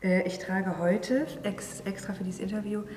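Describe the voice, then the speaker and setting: A thirty-year-old woman explains her work in a casual interview, halting now and then to search for words. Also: medium pitch, steady tone, a little mellow. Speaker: performer and choreographer interviewee. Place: large empty theatre venue. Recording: echoey hall, distant mic, faint noise.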